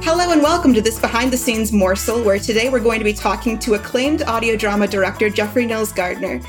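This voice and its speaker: A woman's voice: fairly high pitch, a little fast, music in the background. A woman hosting a podcast, introducing interview guests in a conversational, prepared way. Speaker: podcast host and writer.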